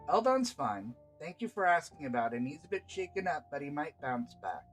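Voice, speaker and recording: Man voicing a brusque woman in charge, higher-pitched. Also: big pitch swings, moderate pace, a bit bright, soft background music. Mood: stern. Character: brusque, exasperated female guild leader giving orders.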